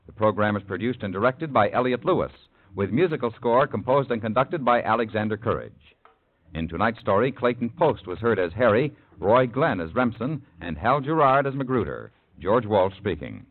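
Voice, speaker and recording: A man's voice: on the low side, very animated, normal speed. A man with an American accent announcing in polished 1950s network radio style. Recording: muffled old radio broadcast, loud music behind.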